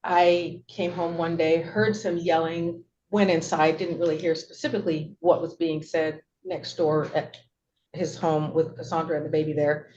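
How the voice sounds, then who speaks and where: A middle-aged woman testifies in a very even, slightly low voice. She is wordy and hesitant, backing up to correct herself, and the courtroom recording is dull with some background hiss. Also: normal speed. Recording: dull, noisy courtroom audio.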